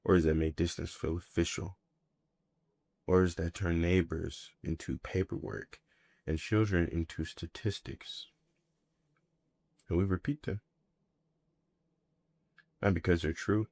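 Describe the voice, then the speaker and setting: A man with a low, deep voice performs a spoken-word monologue. He speaks deliberately and reflectively, setting out short, weighted phrases. The sound is dry, with almost no room around the voice. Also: fairly even intonation, darkish tone, no room sound.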